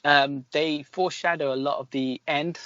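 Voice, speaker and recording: A man's voice: fairly high pitch, steady tone, moderate pace, sharp tone, dry recording. Man, podcast reviewer, casual and critical, close dry sound, slightly lo-fi. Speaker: podcast host reviewing films.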